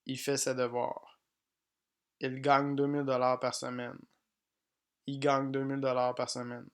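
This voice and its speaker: Slow, flat-voiced man drilling pronunciation. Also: mid-range voice, clean tone. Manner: reads example sentences slowly and evenly, each one twice. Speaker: French language teacher.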